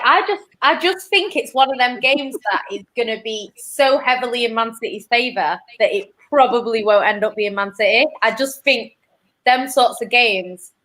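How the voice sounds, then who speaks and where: A young woman with a Manchester accent, chatting casually as a football pundit, animated and quick to laugh. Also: fairly high pitch, poor audio. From England. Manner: animated, laughs often, trails off. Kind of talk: casual chat.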